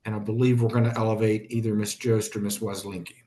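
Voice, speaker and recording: An American man chairing a formal hearing, speaking in a measured, courteous, procedural way. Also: on the low side, level tone, warm tone. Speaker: commission chair. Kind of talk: formal procedural meeting talk.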